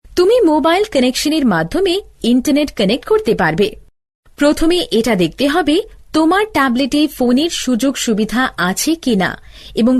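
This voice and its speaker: A woman playing an older-sister teacher, giving step-by-step instructions in a scripted cartoon tutorial. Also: high-pitched. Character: older-sister teacher explaining gadgets to a child.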